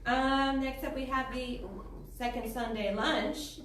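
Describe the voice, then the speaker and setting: A woman's voice: high-pitched, fairly even intonation, slow, a bit bright. A woman giving informal, chatty and upbeat community announcements to a gathering at a spiritual center. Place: large echoey meeting room, heard from a distance. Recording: echoey hall, distant mic, background noise.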